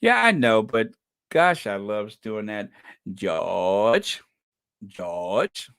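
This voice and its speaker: A live-stream host winds down a broadcast in loose, rambling talk and greets chat viewers by name. The delivery is slow and unhurried, and the pitch swings widely as they joke and chuckle. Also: very animated, a bit bright, clear, resonant tone. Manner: rambling, joking, chuckling at own jokes.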